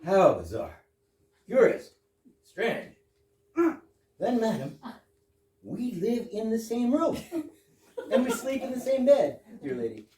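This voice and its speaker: Man acting a polite husband, absurdist stage comedy, formal, mock-astonished, dark animated voice, noisy distant recording. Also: high voice, slow, long pauses, heavily acted. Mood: growing astonishment. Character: polite British husband, a formal gentleman puzzled by coincidences. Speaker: amateur readers theater actor. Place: live stage reading in a room. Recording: roomy, distant mic with clear noise.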